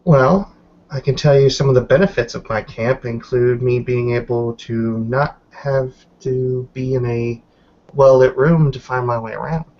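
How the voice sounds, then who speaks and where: A man's voice: mid-range voice, measured pace, lightly acted. A man roleplaying a guarded female werewolf newcomer in a tabletop game, choosing formal words with careful hesitations. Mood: guarded, evasive. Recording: dull lo-fi voice recording.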